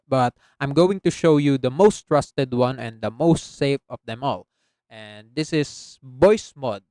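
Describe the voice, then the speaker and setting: A man hosting a casual software tutorial as a voice-over. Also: medium pitch, clean tone, dry recording. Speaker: tutorial host. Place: close, dry indoor voice-over recording.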